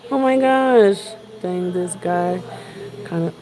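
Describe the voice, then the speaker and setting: Chatty New York woman, lowish voice. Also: slow, warm tone. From New York City, Queens. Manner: rambling, chatty, greets viewers. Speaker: vlogger. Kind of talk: casual livestream chat. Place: outdoors on the street. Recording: noisy background.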